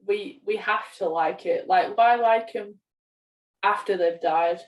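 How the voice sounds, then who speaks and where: A young woman chats casually on a podcast. Her voice is mid-pitched and sounds distant from the microphone, with noticeable room echo. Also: takes it slowly. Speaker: podcast co-host, media student. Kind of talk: casual film podcast chat. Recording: roomy echo, distant from mic.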